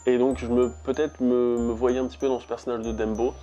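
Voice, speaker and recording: Young French YouTuber, mid-pitched, chatty, halting with fillers, soft music bed underneath. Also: male voice, level tone. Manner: chatty, rambling with frequent "euh". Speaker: YouTube host. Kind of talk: casual vlog talk to viewers.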